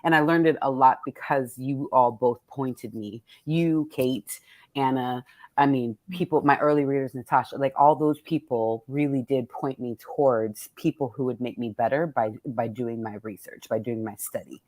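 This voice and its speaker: A woman's voice: extremely low pitch, normal speed, a little mellow, no room sound. A woman speaking as a poet and host, casually and candidly introducing a poetry reading, salty-tongued and thoughtful as she searches for words.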